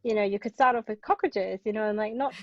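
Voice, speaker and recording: A woman's voice: mid-range voice, fast talker. A woman from Hong Kong chatting enthusiastically about plays as a guest playwright, digressing and peppering her talk with "like" and "you know". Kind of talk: casual literary discussion. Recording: distant, dull lo-fi sound.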